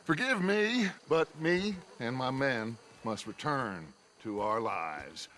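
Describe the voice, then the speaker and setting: A middle-aged American outlaw leader for a western game, declaims with grand flourish. His voice is bright and forceful, his delivery unhurried, with some outdoor noise around him. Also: mid-range voice, expressive. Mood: smooth, courteous. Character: silver-tongued, grandiose aging male outlaw gang leader. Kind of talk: theatrical video game western acting.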